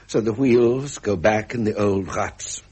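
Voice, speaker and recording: An actor playing a wry middle-aged prince with an upper-class British accent, in period radio drama style. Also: male voice, lowish voice, a little slow, darkish tone, a little hiss or hum, lightly acted. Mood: aggrieved. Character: frustrated, genial middle-aged prince and uncle. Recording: muffled vintage radio recording.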